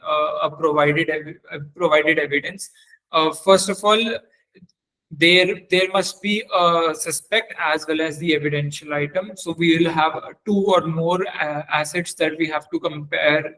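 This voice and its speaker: Halting higher-pitched man lecturing. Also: pushed and bright, rough recording. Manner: halting, repetitive phrasing. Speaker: webinar trainer on forensic audio. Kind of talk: informal technical lecture.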